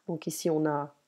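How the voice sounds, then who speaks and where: A woman's voice: low voice, slightly rough. A woman teaching French in an online lesson, moving between French and English and explaining patiently.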